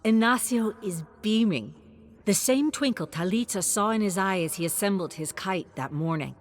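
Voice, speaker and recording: A woman reading scripted story narration in an expressive, dramatic storytelling manner. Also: mid-range voice, normal speed.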